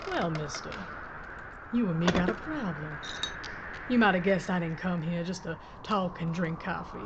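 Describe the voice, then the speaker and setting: An actress playing a blunt, folksy Old West sheriff with an in an audio drama. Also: female voice, fairly low pitch, moderate pace, close to an everyday voice. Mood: steely. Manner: plain-spoken, hard, folksy. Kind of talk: Western audio drama acting. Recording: muffled lo-fi with clear noise.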